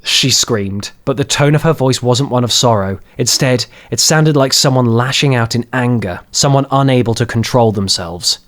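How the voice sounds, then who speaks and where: Male audiobook narrator, medium pitch, dramatic scripted fantasy storytelling. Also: moderate pace, few pauses, a bit bright.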